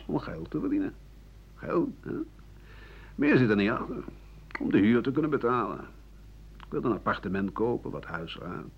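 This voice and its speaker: An actor playing a bitter, cynical old French doctor and writer in a radio play, rambling and scornful with muttered asides. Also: male voice, medium pitch, very animated, moderate pace, vocal fry, long pauses, husky, heavily acted. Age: older. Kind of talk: radio play monologue in interview form. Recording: muffled, noisy 1980 radio drama recording.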